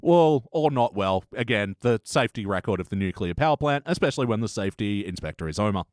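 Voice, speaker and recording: A man's voice: lowish voice, animated, fast talker, a little mellow, no room sound. Casual man hosting a chat, close dry sound. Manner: casual, sweary. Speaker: podcast host.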